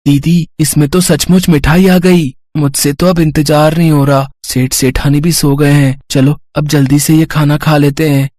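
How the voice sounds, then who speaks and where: Eager kid brother played by a male actor for a storybook tale, wishful and hungry, higher-pitched, dry. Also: little pitch movement, normal speed, few pauses, a little mellow, no echo, close to an everyday voice. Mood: excited. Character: young, food-loving, eager little brother. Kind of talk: moral story character acting.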